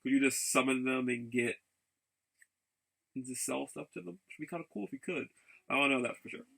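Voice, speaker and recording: Guy doing a rambly casual vlog, super crackly fry but bright voice, keeps restarting his sentences. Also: medium pitch. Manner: halting, repeats and restarts phrases. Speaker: vlogger.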